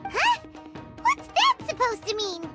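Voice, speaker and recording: A woman voicing a tiny excitable floating girl companion in a game, chirpy, blunt and easily startled. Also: very high-pitched, slow, loud music behind the voice, full character voice. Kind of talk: game voice acting.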